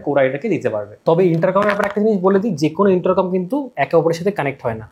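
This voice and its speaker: A man hosting a motorbike gear vlog, chatting casually and asking quick follow-up questions. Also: on the high side, a little mellow. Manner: rapid, chatty, asks short follow-up questions. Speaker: vlogger host.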